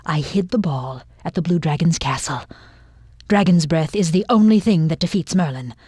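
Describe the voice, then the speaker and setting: A woman playing a defeated, vengeful ice queen in a video game, speaking in a breathy, whispery voice. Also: sits low, expressive, moderate pace, dark tone, no room sound, studio quality. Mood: fading, vengeful. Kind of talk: video game cutscene acting.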